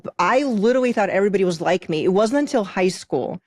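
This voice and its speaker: A woman's voice: mid-range voice, expressive, fast talker, a little mellow, no echo, studio quality. A woman from Moldova who moved to the US as a child, explaining her practice as a podcast guest in an emphatic way with check-in questions. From Moldova, moved to US at eight. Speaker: podcast guest, intuitive soul contract reader. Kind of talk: conversational explaining in an interview.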